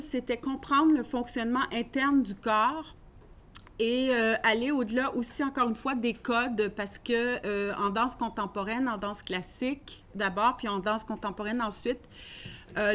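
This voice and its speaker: A woman from Canada speaks in a measured, reflective way. Her voice sits slightly high, and she pauses often on fillers as she explains her ideas. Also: a bit bright. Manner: thoughtful, measured, with frequent fillers. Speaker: dancer and artist giving a lecture. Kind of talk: lecture talk.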